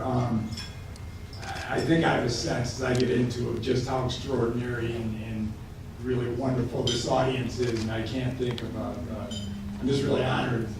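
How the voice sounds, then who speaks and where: A man, giving a keynote speech at a banquet and telling stories with halting, repeated words. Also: medium pitch, background noise. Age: late 50s. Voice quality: gravelly. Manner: storytelling, halting with repeated words, growing excited. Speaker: keynote speaker. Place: large hotel banquet hall. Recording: echoey hall from far off.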